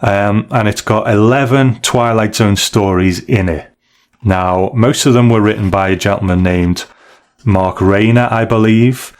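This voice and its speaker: A man's voice: fairly low pitch, steady tone, measured pace, smooth. A man hosting a podcast, talking casually and recapping stories with tag questions. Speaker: podcast host.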